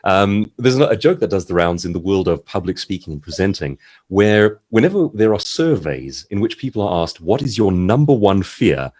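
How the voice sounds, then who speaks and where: Male seminar speaker, husky and highly animated, articulate explainer with a conversational, instructive approach. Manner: articulate, explanatory, measured phrasing. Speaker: author and speaker giving a writing seminar. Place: online video-call seminar.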